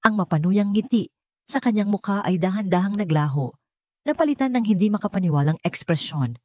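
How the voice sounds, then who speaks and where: A woman's voice: medium pitch, animated, fairly quick, mellow, no room sound, clean studio sound. A woman with a Filipino accent reading a scripted dramatic story as a narrator. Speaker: audiobook narrator.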